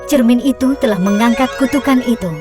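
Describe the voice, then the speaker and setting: A woman narrating a scripted children's fairy tale in a measured, expressive storytelling manner. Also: on the high side. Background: music.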